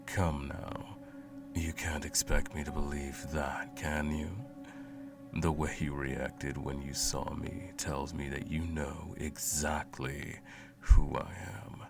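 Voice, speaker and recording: A man playing a cruel, smug, all-powerful sorcerer in an ASMR roleplay, with a slow, condescending, menacing drawl and a gravelly voice. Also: extremely low pitch, little pitch movement, full character voice. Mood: haughty, menacing. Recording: music playing under the voice. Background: music.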